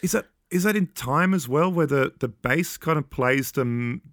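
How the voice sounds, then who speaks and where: A man with a low voice talking casually about an album, hesitant and laughing often as he goes. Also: moderate pace, fairly bright tone, no echo, clean studio sound. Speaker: podcast guest. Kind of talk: casual music discussion.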